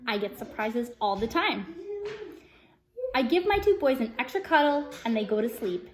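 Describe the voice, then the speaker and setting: A woman's voice: on the high side, big pitch swings, moderate pace. A woman speaking as a self-help vlogger, chatty and earnest, addressing her viewers directly and sometimes reading from her own script. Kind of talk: upbeat personal vlog, partly reading from a script.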